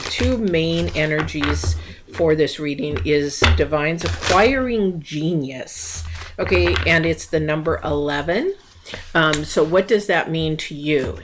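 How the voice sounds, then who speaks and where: A woman with a low voice gives a loose, rambling tarot reading, full of ums and restarts. Her voice sounds worn and close to hoarse. A faint hiss of noise sits under the recording. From United States. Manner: rambling, many fillers and restarts. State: losing her voice. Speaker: tarot reader. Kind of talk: casual spiritual reading to camera.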